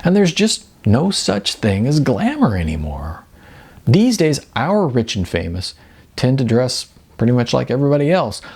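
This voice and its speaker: A man's voice: medium pitch, a little mellow, slightly rough, exaggerated voice. A man voicing a contented, wistful, overweight male model in an audiobook, chatting casually.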